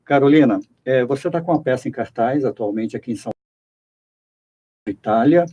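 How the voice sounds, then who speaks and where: A man with a Brazilian accent hosts a radio interview in a soft, mellow voice. He speaks conversationally from the studio, putting questions to a guest. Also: mid-range voice, a little fast.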